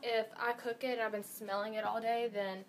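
A woman's voice: on the high side, flat delivery, brisk, a little hiss or hum. Chatty young woman vlogging at home. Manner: chatty, rambling, bubbly. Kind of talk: casual daily vlog chat. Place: home kitchen, indoors. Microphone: phone camera at arm's length.

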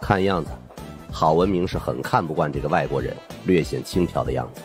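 A man's voice: extremely low pitch, a little fast, a little mellow, faint music behind. A man narrates suspense fiction as a dramatized first-person audiobook storyteller.

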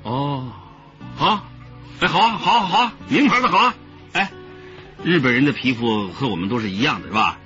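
Male, jovial smooth-talking trader boss, radio drama, very animated delivery, music underneath. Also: medium pitch, moderate pace, long pauses. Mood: laughing, effusive. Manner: effusive, chuckling, peppered with interjections. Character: jovial, smooth-talking boss figure haggling over a smuggling deal. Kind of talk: Chinese radio drama acting. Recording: dull radio drama audio with background music.

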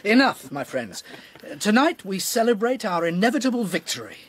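A pompous, silkily scheming monarch, pitched high and swinging extravagantly up and down. His false graciousness suits a historical radio drama. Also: big pitch swings, normal speed, slight background noise. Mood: grandly gracious. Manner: courtly, insinuating, pompous. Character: smooth, scheming rival king, falsely gracious.

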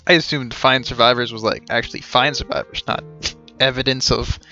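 A man's voice: mid-range voice, fairly even intonation, fairly quick, vocal fry, pushed and bright, highs cut off. A man in his thirties giving casual, wry live commentary on a game, muttering asides. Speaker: game streamer. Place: indoor room at home. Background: game music.

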